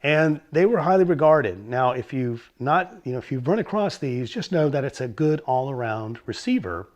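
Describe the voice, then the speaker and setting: A middle-aged man with a hoarse voice from a cold, hosting a casual explainer about vintage stereo gear and rambling a bit with fillers and self-corrections. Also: medium pitch, animated, normal speed, a little mellow, dull audio. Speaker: host of a vintage stereo channel.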